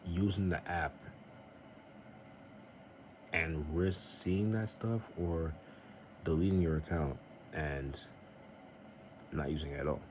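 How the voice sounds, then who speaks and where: Young guy with a super deep voice rambling earnestly in his vlog, some noise behind him. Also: steady tone, slow, long pauses, a little mellow. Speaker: vlogger. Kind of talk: casual faith-based vlog talk. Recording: noisy home recording.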